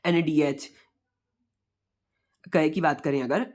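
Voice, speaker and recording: A hoarse young man with a sore throat gives an exam revision lecture online, explaining in a repetitive way and calling his viewers friends. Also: fairly high pitch, slow, a little mellow. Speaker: online tutor.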